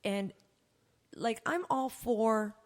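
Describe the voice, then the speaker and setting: A woman's voice: fairly high pitch, very slow. An American woman co-hosting a podcast, giving blunt, incredulous opinions in casual conversation. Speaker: podcast co-host. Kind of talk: casual political chat. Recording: long echoing reverb.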